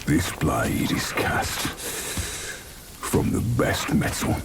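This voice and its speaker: An actor playing a gruff, boastful male blacksmith warrior in a game, speaking in curt lines. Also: very slow, recorded from a distance, heavy background noise.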